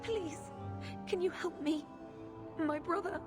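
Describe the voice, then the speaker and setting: A woman's voice: extremely high pitch, slow pace, over music. An actress playing a worried young woman pleading for help with her brother. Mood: anxious. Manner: anxious, pleading.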